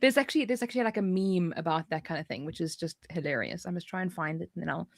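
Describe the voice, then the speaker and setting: Animated South African woman, close dry sound. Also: fairly low pitch, fast talker, gravelly, dry recording. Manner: animated, giggly, jokey. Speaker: livestream co-host. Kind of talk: casual livestream chat.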